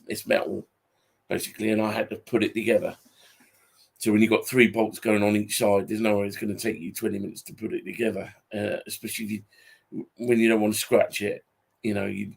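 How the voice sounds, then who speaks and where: A British man in his forties with a husky, fairly flat delivery. He rambles casually in a grumbling, weary way, often stopping and repeating himself as he goes. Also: fairly low pitch. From Britain. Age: 40s. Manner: halting, repetitive, grumbling. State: tired. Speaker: YouTube channel host. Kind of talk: casual unscripted monologue.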